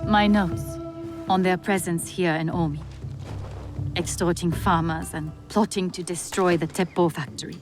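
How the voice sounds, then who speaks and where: Woman acting a formal noble widow over loud music. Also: on the low side, fairly even intonation, close to an everyday voice. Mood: grave, determined. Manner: formal, measured speech. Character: noble Japanese widow, gracious, composed and quietly determined. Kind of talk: video game cutscene acting.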